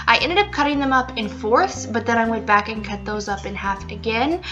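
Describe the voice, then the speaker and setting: A young woman chatting casually through a home cooking video, upbeat and full of fillers, recorded at home with soft music behind. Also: fairly high pitch, moderate pace, muffled recording. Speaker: cooking vlogger. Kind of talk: casual cook-along narration. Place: living room at home, indoors.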